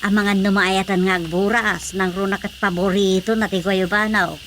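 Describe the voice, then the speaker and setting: A woman's voice: medium pitch, flat delivery, normal speed, fairly bright tone, a little hiss or hum, close to an everyday voice. An actress playing a chatty mother with an Ilocano Filipino accent in a comedic radio drama. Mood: cheerful, chatty. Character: chatty mother, addressed as nanang.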